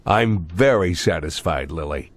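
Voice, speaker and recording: An actor playing a stubborn older fugitive ex-cop in a video game, with a blunt, insistent way of questioning. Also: male voice, low voice, lively intonation, measured pace. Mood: cold. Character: bearded old man, fugitive ex-cop pressing the sheriff, stubborn.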